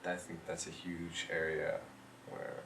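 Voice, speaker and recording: A young man answering interview questions in a relaxed way, thinking aloud with lots of ums and uhs. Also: low voice, unhurried. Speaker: interviewee, rover systems engineer. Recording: roomy, distant mic, clear background noise.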